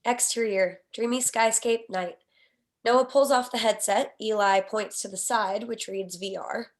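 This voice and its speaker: A woman's voice: medium pitch, steady tone, measured pace. A woman reading out scene headings and action lines as scripted narration for a screenplay table read. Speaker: stage direction reader.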